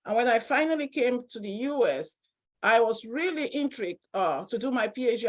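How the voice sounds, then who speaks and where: A woman's voice: fairly high pitch, darkish tone. A woman from Cameroon with a Cameroonian accent, speaking as a professor giving an informal, personal academic presentation at a seminar.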